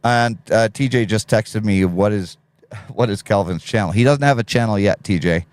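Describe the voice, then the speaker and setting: A man with a deep, smooth radio voice, low in pitch, hosting a relaxed live stream. He reads viewers' chat aloud, offers advice and laughs easily. The sound is dry and close. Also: normal speed, dry recording. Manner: laid-back, reads chat messages aloud, laughs often.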